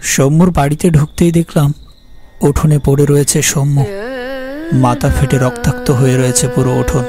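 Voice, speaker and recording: A man's voice: fairly high pitch, level tone, moderate pace, mellow, close to an everyday voice. Haunted young man narrating over music an actor. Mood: uneasy, suspenseful. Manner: brooding, fearful storytelling. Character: haunted, guilt-ridden young man telling his horror story. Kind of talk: scripted dramatic horror narration.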